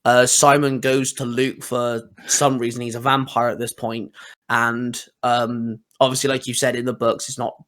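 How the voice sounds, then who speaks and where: Mid-pitched man hosting a casual, informal chat. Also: level tone, moderate pace, fairly bright tone. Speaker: podcast host asking questions. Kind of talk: casual conversational interview.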